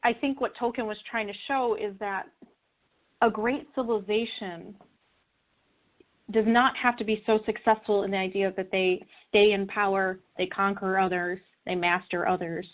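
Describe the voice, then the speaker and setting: A woman speaks as an interview guest through a thin, phone-like connection. She explains things in an earnest, conversational way, at a middling pitch for a woman. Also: fairly even intonation, normal speed. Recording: narrow phone-like call line.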